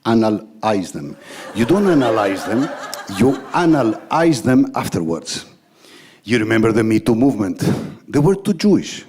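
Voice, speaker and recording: A man from Greece with a Greek accent doing crude stand-up comedy in English as a second language, swearing and dropping in Greek exclamations, with a husky voice. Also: on the low side, moderate pace, a crowd in the background. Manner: animated storytelling with acted-out exchanges.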